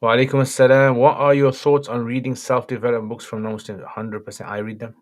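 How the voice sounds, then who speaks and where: A man with a slightly croaky voice, an Islamic scholar reading out and answering viewer questions on a livestream in brief, matter-of-fact replies. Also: mid-range voice, level tone, quick pace.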